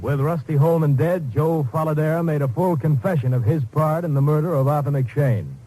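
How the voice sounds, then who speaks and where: A male announcer with a mellow, slightly high voice. He narrates solemnly and deliberately in 1950s radio style, with faint music on an old broadcast recording. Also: poor audio. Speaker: announcer giving the case outcome.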